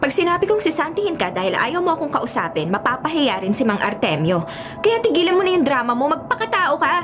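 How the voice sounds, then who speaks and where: A woman's voice: sits high, very animated, fast. A Filipino-accented woman playing a spoiled, headstrong young daughter of a mayor in a radio drama, demanding and petulant. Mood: petulant, bossy. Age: young adult. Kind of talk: radio drama acting with Taglish dialogue. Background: music.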